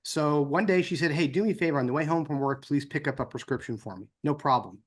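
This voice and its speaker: Higher-pitched American man giving an informal speech. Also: fast talker. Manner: conversational, acts out dialogue in anecdotes. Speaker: organization co-founder giving a speech. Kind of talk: informal speech with personal anecdotes.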